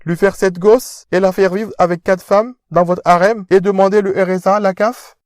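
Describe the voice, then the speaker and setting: A sneering rich young fiancé, played by a man talks down from a high, thin register, every line pompous and stiffly formal. The sound is dry and close, like a home studio. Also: fairly even intonation, normal speed, darkish tone, dry recording, natural voice. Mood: scornful. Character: haughty rich young fiancé, Charles de la Tortue. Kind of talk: independent audio drama acting.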